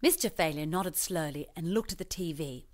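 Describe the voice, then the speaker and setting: A woman reading a scripted children's storybook as parody, expressive and mock-earnest in her storytelling. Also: low voice, moderate pace. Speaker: storybook narrator.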